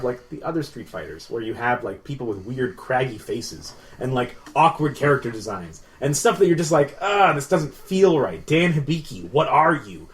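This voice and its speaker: Casual male podcaster, opinionated. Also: mid-range voice. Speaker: podcast co-host. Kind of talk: casual group chat.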